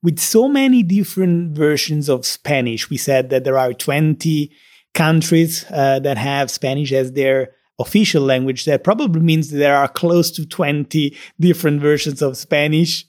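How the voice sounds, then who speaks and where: A man from Naples with a Neapolitan Italian accent, hosting a relaxed interview in English and laughing easily. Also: on the high side, moderate pace. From Naples, Italy. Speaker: podcast host. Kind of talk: conversational interview.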